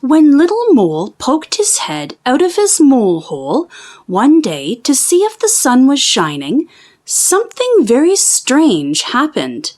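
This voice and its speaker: A woman reading a children's storybook aloud in an expressive, theatrical and deliberate storytelling manner. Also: sits high. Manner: theatrical, deliberate storytelling with drawn-out sound words. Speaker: children's book read-aloud host.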